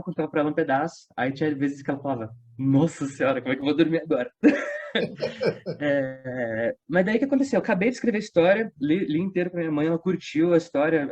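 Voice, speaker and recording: A man's voice: fairly high pitch, quick pace, darkish tone. A man telling a story casually as an interview guest, slangy and conversational. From São Paulo state, Brazil. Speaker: interview guest, audio drama creator. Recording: dull lo-fi online interview audio.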